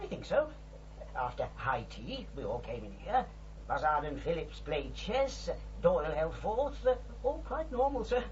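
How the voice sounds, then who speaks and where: An actor playing a fussy, deferential old retired British butler, hesitant, courteous and wryly gossipy, in a radio drama. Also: male voice, on the high side, sing-song, a little slow, highs cut off, slight background noise. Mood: fussy, confiding. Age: older.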